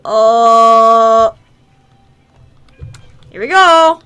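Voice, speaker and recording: A woman giving casual, expressive let's-play commentary as she plays a video game. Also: a little high, drawn-out words, clear, resonant tone, faint music behind. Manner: expressive, gushing reactions. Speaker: streamer playing a video game.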